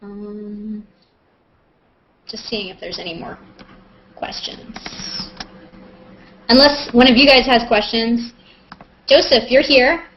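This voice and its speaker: Woman on muffled video call, higher-pitched voice. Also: takes it slowly, pauses often, a little hiss or hum. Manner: playful, joking, self-mocking. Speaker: host of a live video Q&A. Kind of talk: casual chat, answering viewer questions.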